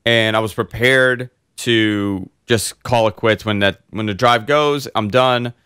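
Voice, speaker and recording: A man hosting a casual tech podcast, hoarse and congested, telling long rambling stories full of fillers. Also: on the low side, measured pace, dry recording, studio quality. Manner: rambling, animated storyteller with many fillers. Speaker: host.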